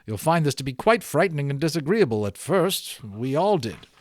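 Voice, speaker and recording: A man voicing a courteous, calm gentleman guide in an audiobook, explaining things in a measured, theatrical way. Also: a little high, dry recording, clean studio sound. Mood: calm, explanatory. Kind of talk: audiobook character dialogue.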